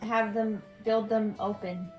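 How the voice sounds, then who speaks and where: A woman's voice: medium pitch, steady tone, takes it slowly, dull audio, music in the background, close to an everyday voice. A woman voicing a dim, cheerful young girl heroine in an amateur game fandub, asking simple, cheeky questions. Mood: puzzled.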